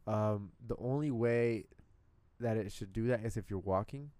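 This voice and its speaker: A man's voice: on the low side, normal speed, dry recording. A young man from Puerto Rico, co-hosting a podcast and telling stories casually in blunt language full of swearing. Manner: blunt, profane, animated retelling with quoted asides. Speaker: podcast co-host. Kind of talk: casual chat, storytelling.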